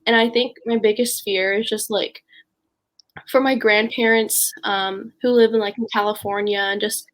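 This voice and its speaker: A woman's voice: medium pitch, steady tone, moderate pace, fairly bright tone. A sixteen-year-old girl chatting casually as a podcast guest, hesitant and leaning on the word like. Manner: hesitant, frequent "like". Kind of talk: casual personal chat.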